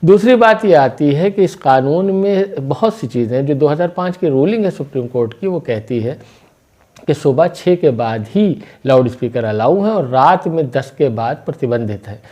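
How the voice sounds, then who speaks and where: A man's voice: on the high side, animated, moderate pace, dark tone. A man presenting local news commentary, explaining things point by point in a conversational way.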